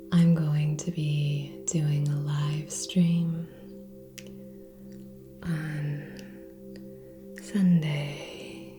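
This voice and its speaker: A woman speaking soft, breathy ASMR in a near-whisper, gently hosting with long pauses between short phrases. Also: sits low. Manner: slow, gentle, hushed delivery with long pauses. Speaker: ASMR channel host. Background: relaxing music with singing bowl tones.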